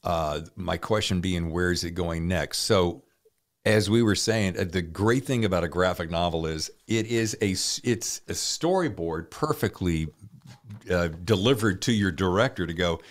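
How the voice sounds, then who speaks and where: Older man in his sixties, very low voice, podcast host, casual interviewing. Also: normal speed. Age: 60s.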